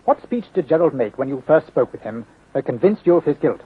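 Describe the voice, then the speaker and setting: Old radio drama, tinny muffled audio, upper-class English gentleman, rapid, fairly high voice, genial yet anxious. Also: big pitch swings, breathy edge. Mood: earnest. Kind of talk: 1930s radio mystery acting. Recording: thin, muffled 1930s radio recording.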